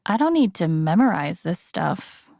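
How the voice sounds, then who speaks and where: A woman's voice: fairly low pitch, very animated, a little slow, vocal fry, a little mellow, no room sound, clean studio sound, exaggerated voice. A woman playing an earnest, wry, vulnerable young video game heroine, in an introspective, understated inner-monologue style. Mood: wry, casual. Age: young adult.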